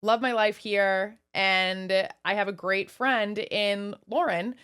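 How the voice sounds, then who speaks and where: A woman's voice: medium pitch, steady tone, slow, a bit bright, smooth. A woman in her thirties from Wisconsin, co-hosting a casual podcast chat in a chatty, joking way. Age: 30s. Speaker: podcast co-host.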